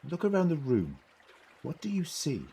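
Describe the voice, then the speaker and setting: A man's voice: fairly high pitch, wildly swooping pitch, normal speed, dark tone, a little hiss or hum. A man acting in an audio drama as an eccentric, inquisitive Doctor who muses precisely as he investigates. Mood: inquisitive, musing. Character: male time-travelling Doctor, curious, eccentric, quick-thinking investigator.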